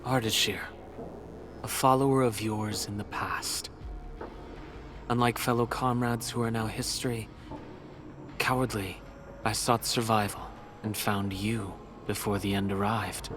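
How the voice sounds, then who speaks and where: An actor playing a courtly, enigmatic video game villain who professes devotion, with formal, theatrical phrasing. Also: male voice, lowish voice, fairly even intonation, a little slow, pauses often, background noise, natural voice. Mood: courtly, cryptic. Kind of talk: video game story voice acting. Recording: dramatic music behind the voice. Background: game music.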